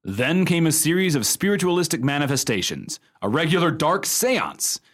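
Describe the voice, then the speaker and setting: An actor playing a wry storyteller in a radio play, telling a ghost yarn in a dry, emphatic way. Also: male voice, fairly high pitch, sing-song, moderate pace. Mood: relishing, emphatic.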